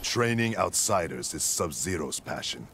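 An actor playing a stern, proud ninja clan grandmaster in a video game, speaking in a measured, formal, commanding way. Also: male voice, low-pitched, big pitch swings, a bit bright, a little hiss or hum, full character voice. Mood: solemn, commanding. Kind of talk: video game character dialogue.

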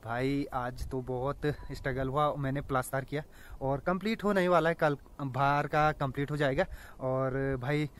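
A man's voice: mid-range voice, moderate pace. A young man in his twenties talking casually to his viewers as a vlogger, filming himself with a phone held at arm's length outdoors in a mountain village. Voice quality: chatty, keeps saying "bhai". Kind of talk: casual vlog narration.

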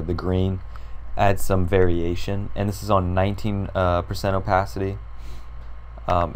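Hoarse young man with a low voice. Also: a little hiss or hum. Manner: rambling, filler-heavy, thinks aloud while clicking. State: hoarse, sore throat. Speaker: tutorial creator. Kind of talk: casual screen-recorded design tutorial.

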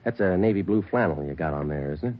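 A relentless police detective with a very low voice, firing off clipped, matter-of-fact questions at a brisk pace. The sound is dull and lo-fi, like an old radio crime drama. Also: male voice, animated. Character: dogged male police detective, calm and relentless. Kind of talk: 1940s radio acting. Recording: lo-fi 1940s radio broadcast.

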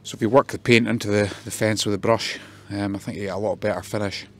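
Man, low monotone voice, tradesman narrating his own job vlog outdoors on a handheld phone, faint background ambience. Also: fast talker. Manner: plain, practical, with many ums. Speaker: fencing contractor. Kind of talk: casual work vlog walkthrough. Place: outdoors in a garden.

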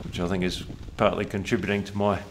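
A man with a lowish voice talks in a halting way, pausing often and joking about himself. Clear background noise runs under him throughout. It has the feel of an interview recorded out in the field. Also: little pitch movement. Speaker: cave diver. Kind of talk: documentary interview.

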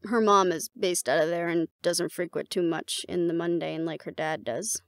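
Woman acting a creaky-voiced, fond warrior girlfriend. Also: on the low side, moderate pace, dry recording, close to an everyday voice. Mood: casual, explaining. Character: battle-seasoned woman, fond, teasing mate of a werewolf. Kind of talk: roleplay audio drama, casual intimate dialogue addressed to the listener.

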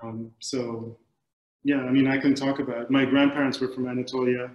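A man in his forties speaks in a thoughtful, halting way as he searches for words. His voice has an average pitch and comes over a video call from an echoey room at home. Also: level tone, darkish tone, highs cut off. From Middle East, born in Kuwait. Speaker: film director, guest. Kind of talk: thoughtful answers in a video panel discussion. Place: indoors, book-lined room at home. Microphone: computer mic on a video call.

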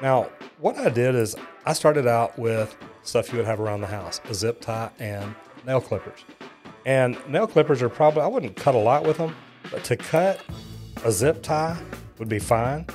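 A plainspoken man in his fifties with a drawl talks through plumbing hacks in a relaxed, folksy way. He speaks as a working plumber, practical and matter-of-fact. Light music plays faintly behind him. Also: mid-range voice, moderate pace. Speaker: plumber reacting to home hacks. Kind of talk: casual reaction and demonstration. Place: studio set and a small restroom. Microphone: boom mic on desk and lapel mic.

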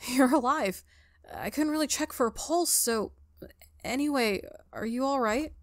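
A woman's voice: sits high, measured pace, no echo, studio quality, pitched above the performer's own voice. A woman voicing a shy, awkward, friendly college ghost in a visual novel, nervous, hesitant and stammering. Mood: flustered relief.

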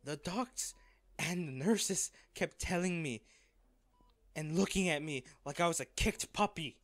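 A man playing a wealthy, devoted, wounded lover in an intimate, halting audio drama. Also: a little high, fairly bright tone, no room sound. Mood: frustrated, earnest. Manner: slow, halting, emotional delivery. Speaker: voice actor in an audio roleplay.

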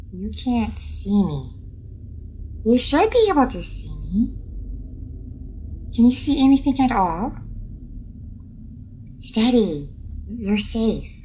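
A woman's voice: medium pitch, darkish tone, slight background noise. A woman playing a friendly, curious alien starship captain in an audio roleplay, soothing and a little hesitant as she addresses the listener.

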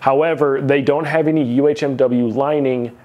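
A man's voice: mid-range voice, steady tone, normal speed, muffled recording. Methodical man reviewing gear in a garage gym. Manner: methodical, detailed, plain-spoken. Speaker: equipment reviewer. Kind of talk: product review to camera.

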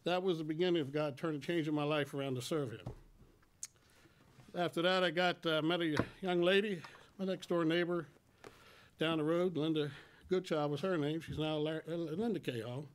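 A man in his sixties giving a personal testimony to a congregation in a plain, folksy, halting way, speaking into a stand microphone. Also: fairly high pitch, steady tone. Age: 60s. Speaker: church member giving testimony. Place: church sanctuary at a lectern.

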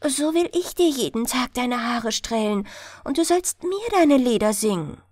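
Earnest, high-pitched little girl, played by a woman. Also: animated, unhurried, darkish tone, no room sound, studio quality. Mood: eager. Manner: earnest, pleading storybook delivery. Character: kind little girl, pleading, tender and selfless.

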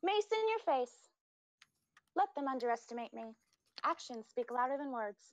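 Defiant young guardian of the law played by a woman, very high register, earnest. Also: sing-song, moderate pace, muffled recording. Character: watchful young fantasy enforcer of the law. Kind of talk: character voice acting audition.

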